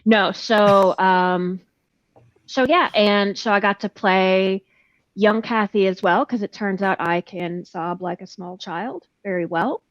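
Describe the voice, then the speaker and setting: A woman in her thirties talks casually and frankly, poking fun at herself with salty language. Her pitch sits in the middle range. The sound is dry and a little muffled, as on an online call. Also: takes it slowly, no room sound. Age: 30s. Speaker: guest voice actor. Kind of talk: casual chat. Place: indoors, on a video call.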